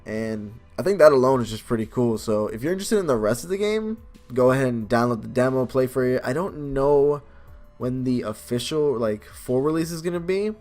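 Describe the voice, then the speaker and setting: A man's voice: medium pitch, lively intonation, a little fast, a little mellow. A man doing a casual let's play of a game, moving between commentary and reading aloud. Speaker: game video creator. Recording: music behind the voice. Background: game music.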